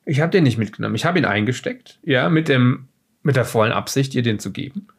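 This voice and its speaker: Gravelly, hesitant shifty safecracker played by a man. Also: mid-range voice, lively intonation, brisk. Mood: flustered, unsure. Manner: hesitant, rambling, self-justifying with stammered restarts. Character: shifty former safecracker defensively recounting being attacked and kidnapped. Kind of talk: improvised audio drama dialogue.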